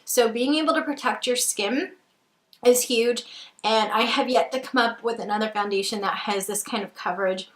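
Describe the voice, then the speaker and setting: A woman's voice: fairly high pitch, moderate pace, fairly bright tone. A woman in her twenties chatting through a makeup review, enthusiastic and a bit sniffly from a cold, with frequent ums. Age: 20s. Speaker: beauty vlogger. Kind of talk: casual makeup review chat. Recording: echoey room.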